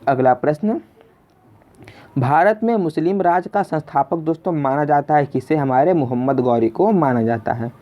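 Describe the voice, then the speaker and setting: A man's voice: on the high side, moderate pace, warm tone. A man hosting an exam lesson in a question-and-answer style, addressing listeners as friends and repeating his questions. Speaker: quiz teacher and video host. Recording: dull lo-fi voice recording.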